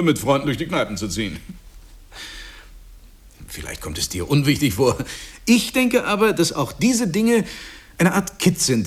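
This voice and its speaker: Husky, gushing, self-satisfied husband. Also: male voice, mid-range voice. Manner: emphatic, preachy, swinging between gushing and confiding. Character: self-satisfied middle-class husband, effusive, patronizing friend and proud father. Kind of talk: German radio play acting.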